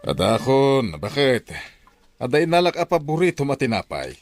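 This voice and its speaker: A man playing a stern but fair uncle in a radio drama, admonishing and expressive, with an Ilocano Filipino accent. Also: medium pitch, normal speed, no room sound, faint music behind. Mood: demanding. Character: stern, fair-minded uncle, middle-aged head of household.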